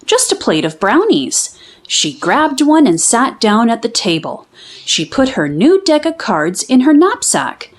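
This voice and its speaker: A woman reading a children's storybook aloud as an expressive narrator. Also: fairly high pitch.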